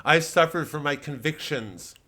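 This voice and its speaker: A man voicing an earnest tech whistleblower giving solemn, deliberate documentary testimony in a satirical comedy bit. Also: on the high side, sharp tone, pitched above the performer's own voice. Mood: earnest, self-pitying.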